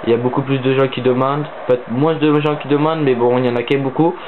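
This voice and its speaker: A man's voice: medium pitch, steady tone, fast. Stuffy-nosed teenage boy on a tinny mic. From southern France. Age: teens. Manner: rambling, hesitant with many fillers. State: stuffy-nosed from a cold, sniffling. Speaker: gaming channel host. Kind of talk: casual gaming commentary voice-over. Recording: tinny phone-like mic with faint hiss.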